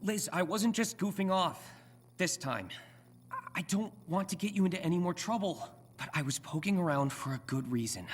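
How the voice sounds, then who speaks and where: An earnest young American superhero in a video game. His pitch swings freely as he chatters in a boyish, nervy, self-deprecating way, always ready with a wisecrack. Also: animated, moderate pace, breathy edge. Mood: apologetic, anxious. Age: young adult.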